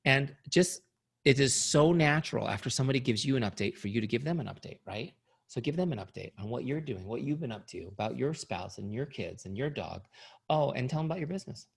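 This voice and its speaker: A man's voice: medium pitch, lively intonation, fairly quick, gravelly, breathy edge. A middle-aged man giving a sales training talk, upbeat and coaching, who is starting to lose his voice. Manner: upbeat, coaching, asks "right?" often. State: losing his voice. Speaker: company founder and trainer.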